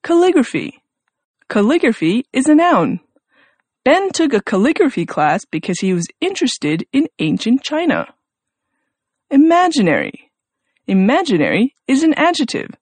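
Brief: female vocabulary teacher, animated sing-song delivery, close dry sound. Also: sits high, moderate pace, no room sound. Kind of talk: scripted lesson reading.

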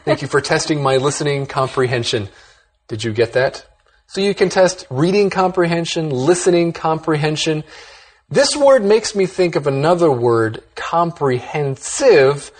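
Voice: mid-range voice, animated, moderate pace, breathy edge. A host presenting a scripted English lesson, explaining vocabulary in an upbeat way and reading example sentences aloud.

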